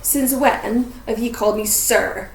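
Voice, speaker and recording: A woman voicing a huge, grumpy man with gruff, rustic speech in a storybook reading. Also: a little high, a little slow, far from the mic. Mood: grumpy, sarcastic. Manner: gruff, loud, rustic dialect wording. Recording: roomy echo, faint background noise.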